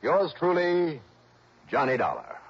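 A man playing a tough, wry, steady insurance investigator in a 1960s radio drama. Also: on the high side, a little slow, breathy edge, full character voice. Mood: wry, businesslike. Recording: muffled old radio recording. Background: music.

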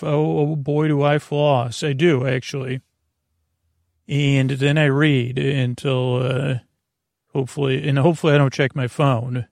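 A man hosting a bedtime podcast in a meandering monologue, halting and digressive, often trailing off and restarting. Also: medium pitch, darkish tone, no echo, clean studio sound. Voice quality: creaky, gravelly. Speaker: podcast host.

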